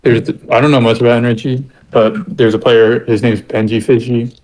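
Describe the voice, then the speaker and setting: A man's voice: mid-range voice, flat delivery, normal speed, a little mellow, poor audio. A man chatting as a podcast guest, eagerly explaining facts. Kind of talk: casual chat.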